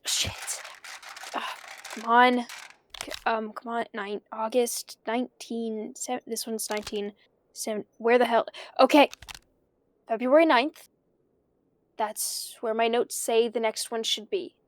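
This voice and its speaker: An actress playing an anxious woman investigator in an audio drama, talking to herself in a nervous, halting, stammering way. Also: on the high side, fairly even intonation, slight background noise. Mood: flustered. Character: anxious woman investigating her dead cousin's tapes.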